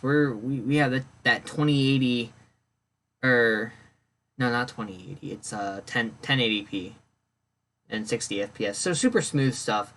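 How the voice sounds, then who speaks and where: A man's voice: medium pitch, sharp tone. A man hosting his own podcast alone, talking in a loose, rambling way with many fillers and restarts, sounding congested and phlegmy. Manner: rambling, self-correcting, lots of fillers. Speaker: podcast host. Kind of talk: casual solo podcast talk.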